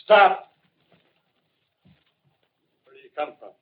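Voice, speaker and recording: An actor playing a suspicious, bitter militiaman survivor with a rough, colloquial, agitated American delivery in 1930s radio drama style. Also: slow pace, close to an everyday voice. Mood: hostile, suspicious. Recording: tinny, band-limited 1930s radio broadcast.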